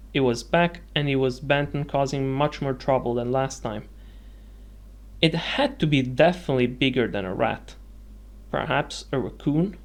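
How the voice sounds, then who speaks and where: A man narrating a scripted video essay with dramatic, suspenseful storytelling phrasing. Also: medium pitch. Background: music.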